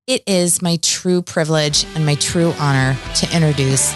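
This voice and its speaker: A woman's voice: sits low, normal speed, soft background music. A woman from Eastern Washington in her forties, hosting a podcast with an earnest, heartfelt manner. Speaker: podcast host. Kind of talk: podcast intro and casual interview.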